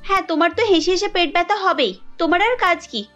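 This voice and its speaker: High-voiced, emphatic young Bengali housewife voiced by a woman. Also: lively intonation, quick pace, a bit bright, faint music behind. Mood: angry, sarcastic. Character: young Bengali housewife Kajal, dutiful but quick to sulk and scold. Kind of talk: Bengali family cartoon voice acting.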